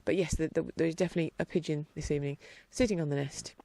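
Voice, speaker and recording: A rambling, chatty woman talking outdoors in her garden, her voice carrying a gravelly fry. She sounds a little blocked up in the nose and drifts into quieter stretches as she wanders through her thoughts. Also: low voice, steady tone, very fast, no room sound. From England. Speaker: podcast host. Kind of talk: casual chat.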